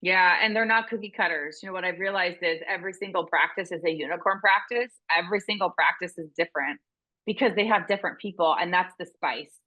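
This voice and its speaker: A woman who works as a veterinary business consultant, speaking as an interview guest in a loud, intense, passionate way. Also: lowish voice, fast, dull audio.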